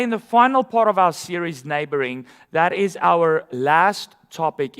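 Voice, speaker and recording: Pastor with a high voice. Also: male voice, fairly bright tone, clear, resonant tone, in a large hall. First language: Afrikaans. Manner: conversational storytelling with asides. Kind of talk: sermon.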